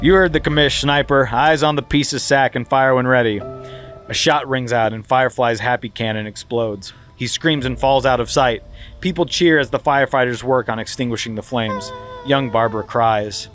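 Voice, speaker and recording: A man hosting a podcast, narrating script stage directions in a dry, steady voice. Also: medium pitch, normal speed, soft background music.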